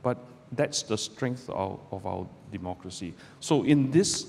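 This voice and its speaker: A middle-aged man from Malaysia, a barrister giving a measured, deliberate public talk to students from a podium in a lecture hall. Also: fairly low pitch, lively intonation, vocal fry, a little mellow. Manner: measured, deliberate delivery with pauses. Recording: roomy hall with long reverb, faint background noise.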